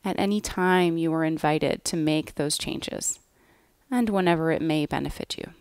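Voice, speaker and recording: A woman guiding a yoga nidra relaxation in a gentle, measured way, with soft phrase endings. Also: sits low, no room sound, clean studio sound. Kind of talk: guided relaxation meditation, scripted.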